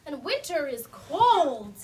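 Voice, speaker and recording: A woman voicing a gentle, loyal young male rabbit in a puppet show, with an earnest storybook delivery. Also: high voice, big pitch swings, takes it slowly, heavily acted. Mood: curious. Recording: distant mic, faint noise.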